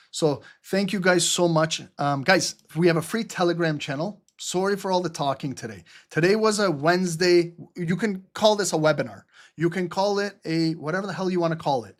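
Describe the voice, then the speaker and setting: A man hosting a live trading webinar in a casual, rambling way, full of fillers and jokes at his own expense. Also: on the high side. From Albanian heritage. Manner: rambling, chatty, self-mocking, many fillers.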